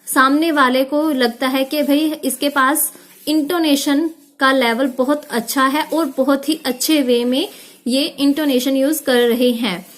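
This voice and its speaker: A young woman teacher giving a video lesson on speaking skills, explaining steadily and stating short tips slowly. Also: high voice, fairly even intonation. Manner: explains in mixed Hindi and English, reads out English tips slowly. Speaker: IELTS teacher.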